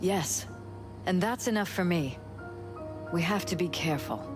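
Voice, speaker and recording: An actress playing a poised, cautious, quietly controlling wife in a video game, polite and measured in her speech. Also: on the low side, animated. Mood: cautious, insistent. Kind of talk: cinematic video game acting. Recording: music behind the dialogue.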